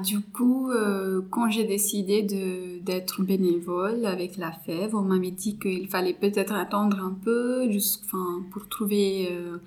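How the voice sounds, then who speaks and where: A Marathi-speaking woman from India in her twenties speaks slowly, pausing often to find her words. Her voice sits in a middle pitch, and her talk has the easy, searching feel of a friendly interview. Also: darkish tone. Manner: hesitant, thinking aloud with frequent pauses. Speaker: psychology master's student and volunteer mentor. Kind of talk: casual interview chat.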